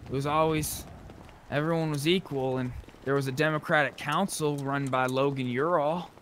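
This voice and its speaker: A man's voice: fairly high pitch, steady tone, normal speed, a bit bright, a little hiss or hum. A man chatting in an improvised, conversational way as he role-plays in an online game. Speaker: role-play gamer. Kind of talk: improvised in-character chat while gaming.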